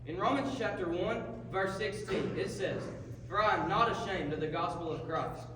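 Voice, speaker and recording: Echoey, high-voiced Southern puppet show host played by a man. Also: moderate pace, lightly acted. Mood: upbeat, folksy. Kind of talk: scripted comedy talk show skit on stage. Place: large echoey hall, live performance. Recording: echoey hall from far off, noisy.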